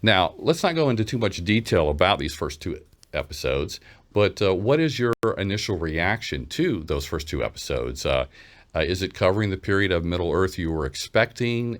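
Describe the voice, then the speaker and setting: A man hosting a casual interview, asking questions in a halting, rambling way. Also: low-pitched. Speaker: interview host.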